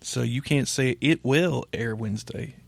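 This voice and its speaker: An American man hosts a podcast in a casual, conversational way. His voice has a strong creak to it, and he interjects with dry, teasing remarks. The recording is tight and free of echo. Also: mid-range voice, unhurried. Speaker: podcast host.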